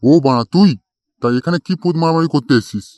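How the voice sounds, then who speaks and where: A man voicing a gruff, teasing, grumbling village fellow in a comedy cartoon. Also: on the high side, fast, a little mellow, dry recording, close to an everyday voice. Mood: mocking annoyance. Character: gruff, mocking village petrol pump guy and club mate.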